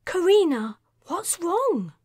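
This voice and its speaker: A woman's voice: very high voice, sing-song, takes it slowly, a little mellow, dry recording, clean studio sound, voice pushed up, heavily acted. A woman voicing an anxious, apologetic woman pilot. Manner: worried, pleading questions.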